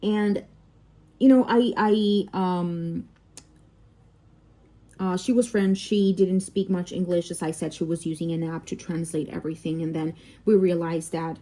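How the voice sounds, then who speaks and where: A woman with a Mexican accent, a native Spanish speaker, telling an anecdote in a casual, chatty way, animated and often interrupting herself with asides. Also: fairly low pitch, unhurried. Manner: animated, digressive, self-interrupting. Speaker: vlogger and crafter, telling work anecdotes.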